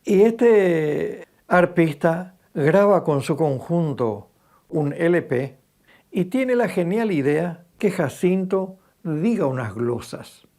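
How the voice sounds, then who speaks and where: A man's voice: a little high, expressive, takes it slowly, darkish tone. Older Paraguayan man telling anecdotes. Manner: storytelling, seeking agreement with tag questions. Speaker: interviewee, cultural radio broadcaster. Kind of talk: anecdotal interview talk.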